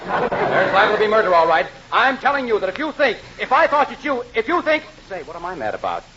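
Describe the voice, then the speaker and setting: An irate older neighbor blusters and gets flustered in a 1940s radio comedy. The voice is high, piercing and animated, on a dull old broadcast. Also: male voice, brisk, some chatter behind, slight background noise, full character voice. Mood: furious, flustered. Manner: blustering, losing the thread.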